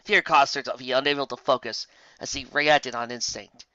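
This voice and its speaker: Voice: medium pitch, fairly even intonation, moderate pace, sharp tone, no echo. A narrator reading scripted fan fiction in a dramatic, emphatic storytelling manner, on a slightly dull recording.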